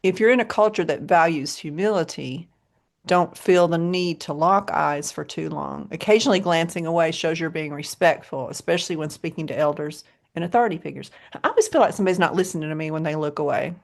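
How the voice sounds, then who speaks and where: An American woman with a low voice co-hosting a podcast, chatting casually and often laughing. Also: a little fast, creaky. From United States. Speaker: podcast co-host. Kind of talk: casual chat.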